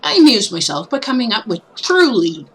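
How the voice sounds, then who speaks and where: A woman reading a story game aloud in an expressive, theatrical narrating style. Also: fairly high pitch, normal speed, husky, some chatter behind. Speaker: streamer reading a visual novel aloud.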